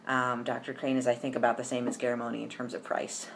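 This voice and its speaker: A man's voice: medium pitch, monotone, quick pace, gravelly, a bit bright, slight background noise. A young man chatting casually to camera in a personal vlog, rambling with lots of ums and restarts. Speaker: vlogger.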